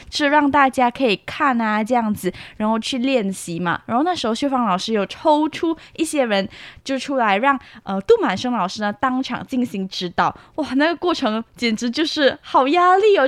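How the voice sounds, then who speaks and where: A young woman with a fairly high voice hosts a conversational interview as a student reporter. She speaks in a friendly exchange with short acknowledgements, and the sound is dry with little room. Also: dry recording. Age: young adult.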